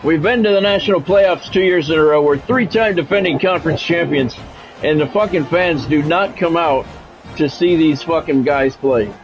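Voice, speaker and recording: A man with a slightly high voice hosts a football game stream. He slides between sportscaster play-by-play and casual, blunt chat with a grumbling edge. Faint music plays behind him. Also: rough recording.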